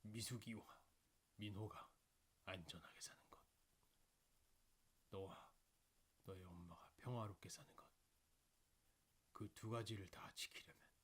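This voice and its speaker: A man voicing a dying, remorseful father of eighty-seven in a slow, solemn audiobook reading. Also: fairly low pitch, breathy edge. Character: dying 87-year-old father, remorseful, tender, writing last letter.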